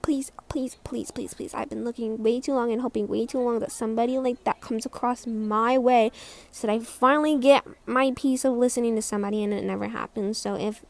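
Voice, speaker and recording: Squeaky-voiced young woman, hesitant vlog. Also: steady tone, fairly quick, vocal fry, darkish tone. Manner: hesitant, self-conscious, many restarts. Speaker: vlogger. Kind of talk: casual rambling vlog rant.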